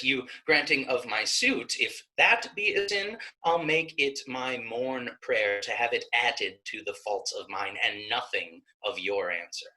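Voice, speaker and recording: Guy playing a devout steadfast young woman in shakespeare, slow careful pleading, bright forceful voice. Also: mid-range voice, poor audio. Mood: earnest, resolute. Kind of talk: Shakespearean staged reading.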